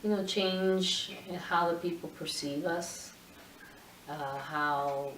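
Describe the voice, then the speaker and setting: Low-voiced middle-aged woman from puerto rico, slow and halting, roomy sound from a distance. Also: steady tone, fairly bright tone, a little hiss or hum. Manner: thoughtful, halting, many restarts. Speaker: photographer. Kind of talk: interview answers. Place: indoor room with her camera collection.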